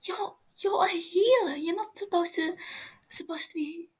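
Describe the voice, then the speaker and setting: A woman voicing a frightened, wounded male captive who gasps and stammers through halting speech in a story reading. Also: extremely high pitch, pitched above the performer's own voice. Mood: gasping, appalled.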